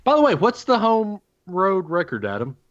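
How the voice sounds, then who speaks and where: A man's voice: high voice, wildly swooping pitch, moderate pace. A man hosting a sports podcast with casual banter, moving the conversation along. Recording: dull lo-fi podcast audio.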